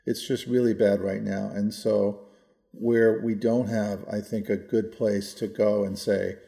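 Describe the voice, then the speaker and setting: Male, 60s, American. Creaky, relaxed voice, measured conversational delivery, podcast co-host who qualifies as he goes. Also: on the low side. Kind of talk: reflective policy discussion, conversational.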